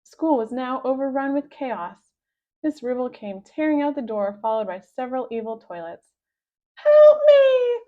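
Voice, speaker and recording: A woman reading aloud a children's story with expressive scripted narration, on a slightly dull recording. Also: a little high, normal speed. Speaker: storybook reader.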